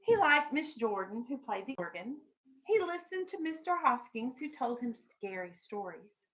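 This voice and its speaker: Woman, schoolteacher, high voice, bright tone, questioning, firm, classroom read-aloud delivery. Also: big pitch swings, normal speed, poor audio. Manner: instructional, questioning, firm with pupils. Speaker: elementary school teacher.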